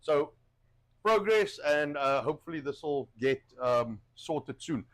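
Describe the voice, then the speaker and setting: A man's voice: a little high, lively intonation, measured pace, over a noisy crowd. A man hosting a casual cooking livestream, rambling and repeating himself while getting over a cough with a wheezy chest. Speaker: host of a cooking show.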